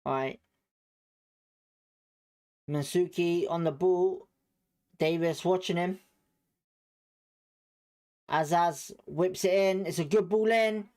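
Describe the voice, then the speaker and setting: A man's voice: sits high, takes it slowly, long pauses, a little mellow. Sweary British male football watchalong host. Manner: sweary, blunt, exasperated slangy delivery. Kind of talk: live match commentary, informal fan reactions.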